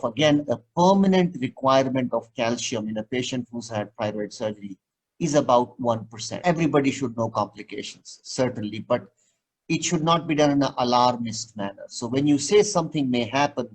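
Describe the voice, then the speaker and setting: A man's voice: medium pitch, moderate pace, husky, low-quality recording. A male surgeon answering interview questions, explaining procedures patiently with plain everyday comparisons. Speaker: surgeon guest.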